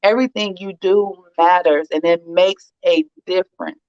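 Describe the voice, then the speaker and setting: A woman's voice: lowish voice, steady tone, normal speed, darkish tone, dry recording, highs cut off. A woman hosting a casual interview, talking in an encouraging, informal way. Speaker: host.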